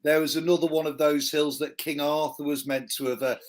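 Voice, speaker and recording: Male host from North Wales, higher register, reflective storyteller on a live chat show. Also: level tone, a little fast. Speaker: podcast host.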